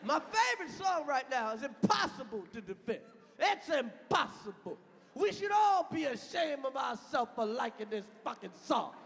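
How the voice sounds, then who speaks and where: A man in his thirties performing a loud stand-up comedy set on stage, shouting punchlines and chanting lyrics. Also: very high-pitched, very animated, moderate pace, gravelly. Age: 30s. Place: large venue on stage. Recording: live hall with loud crowd and noise.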